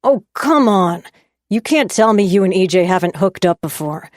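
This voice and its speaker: A jealous young man who snaps at his girlfriend in raised, sharp and scornful bursts, as a woman narrator reads him. He sounds loud and cutting, his voice sitting in a middling register with dry, close sound. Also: medium pitch, expressive, normal speed, no echo, natural voice. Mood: angry. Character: angry jealous young man, resentful boyfriend.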